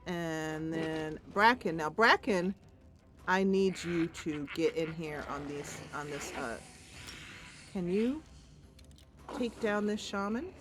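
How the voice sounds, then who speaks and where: Woman doing casual game commentary, kinda slow talker, playful, thinks out loud, music behind her. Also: lowish voice. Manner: playful, thinks aloud, addresses the game characters. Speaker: host of a game playthrough. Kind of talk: casual gameplay commentary with read-aloud narration.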